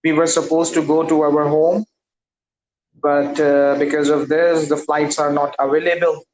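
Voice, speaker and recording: A man's voice: a little high, little pitch movement, darkish tone. A man whose first language is Urdu, an English learner speaking carefully and hesitantly in a casual practice conversation over a video call. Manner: careful, hesitant, many pauses and affirmations. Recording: roomy video call audio.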